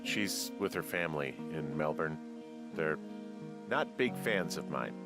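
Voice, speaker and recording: Low-voiced, weary, wry fire lookout guy. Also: animated, measured pace, loud music behind the voice. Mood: reluctant. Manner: hesitant, dry-humored, low-key. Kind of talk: naturalistic video game voice acting.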